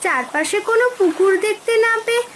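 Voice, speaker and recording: A woman as a very high-voiced kind little girl. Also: lively intonation, brisk, very noisy. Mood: kind, reassuring. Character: kind young village girl, helpful and eager. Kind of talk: children's cartoon voice acting.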